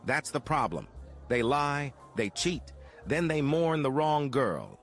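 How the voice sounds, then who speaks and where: An actor playing a tough, cynical 1940s private eye in a noir radio drama, clipped, sardonic and confrontational. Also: male voice, mid-range voice, sing-song, takes it slowly, a bit bright, soft background music, exaggerated voice. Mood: accusing, cynical.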